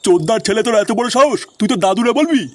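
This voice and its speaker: A man's voice: extremely high pitch, animated, rapid-fire. A man voicing a brash, foul-mouthed kidnapping gang boss in a comic cartoon. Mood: angry.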